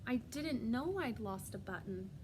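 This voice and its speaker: A woman's voice: on the high side, lively intonation, measured pace, background noise. A woman voicing a small, innocent toy bear from a storybook, naive and full of wonder. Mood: wistful. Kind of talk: storybook read-aloud.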